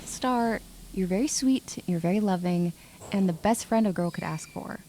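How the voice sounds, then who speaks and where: A woman's voice: mid-range voice, normal speed, dark tone, slight background noise, close to an everyday voice. A woman playing a guarded, blunt young woman in an audio drama, curt and sarcastic. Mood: tender. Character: guarded, blunt young woman, tough but secretly vulnerable.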